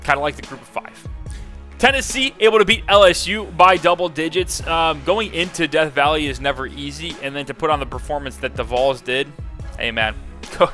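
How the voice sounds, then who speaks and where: Bright, hyped-up young male recap host. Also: fairly high pitch, soft background music. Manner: energetic, joking, hyped-up with quick restarts. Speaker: host recapping league scores. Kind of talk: video game league recap commentary.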